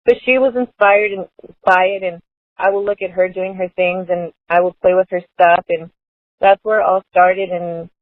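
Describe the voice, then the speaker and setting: Lower-voiced woman, earnest, tinny sound. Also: fairly even intonation, moderate pace, dry recording. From Los Angeles, United States. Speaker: guest. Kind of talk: casual conversation. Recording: dry, phone-like narrow sound.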